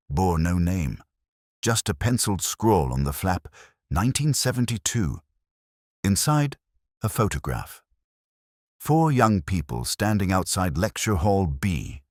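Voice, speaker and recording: Male actor as ageing detective inspector, very deep pitch, lively melodic range, measured reflective narration, wry and literary, audio drama. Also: no room sound, studio quality, heavily acted. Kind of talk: scripted first-person detective narration.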